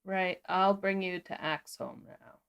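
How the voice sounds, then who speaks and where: A woman co-hosting a livestream, giving a casual step-by-step software walkthrough. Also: fairly low pitch, fairly even intonation, unhurried, gravelly, smooth, highs cut off. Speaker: livestream co-host and tutorial presenter; sound designer.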